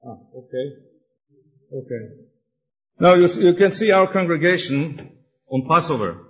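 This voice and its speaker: A man from Austria presenting his congregation to an audience, hesitant and searching for words, a little excited. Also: on the high side, unhurried, lo-fi sound. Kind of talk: halting presentation to an audience with slides.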